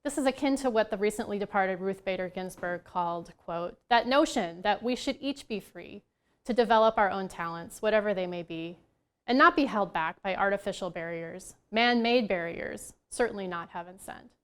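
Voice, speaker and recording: Woman with a smooth, clear voice giving a speech. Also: medium pitch, a bit bright. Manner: measured, earnest, deliberate. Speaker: luncheon speaker. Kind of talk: prepared advocacy speech.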